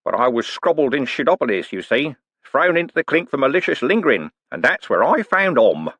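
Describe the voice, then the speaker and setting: A man's voice: mid-range voice, sing-song, brisk, fairly bright tone, breathy edge, dry recording, pitched above the performer's own voice, heavily acted. A man voicing a sly older male con man who poses as a preacher, wheedling and mock-pious with folksy slang.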